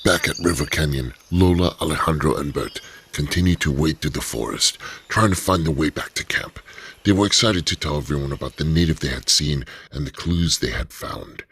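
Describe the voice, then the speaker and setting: A man narrating an adventure radio drama in a measured storytelling manner. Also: extremely low pitch, a bit bright, slight background noise.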